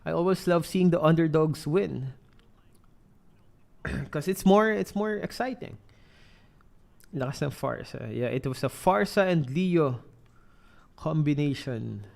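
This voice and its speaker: A man's voice: a little high, animated, a little slow, vocal fry, long pauses, darkish tone. A man casting an esports match, chatty and joking between plays. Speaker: esports caster. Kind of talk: lively game commentary.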